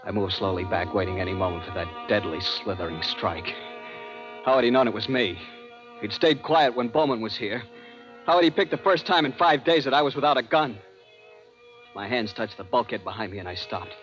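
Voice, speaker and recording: Deep-voiced tense radio narrator, man. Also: fairly quick, a bit bright. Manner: tense, grave, dramatic. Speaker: first-person narrator and lead. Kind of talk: 1950s radio drama narration. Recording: dull old radio recording with music.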